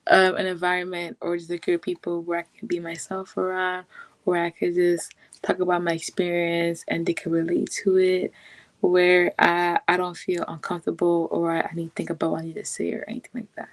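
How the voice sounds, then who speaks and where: Flat-voiced sixteen-year-old girl. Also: on the low side, no room sound. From Cameroon. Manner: halting, repeats words. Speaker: podcast guest. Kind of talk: casual interview answers.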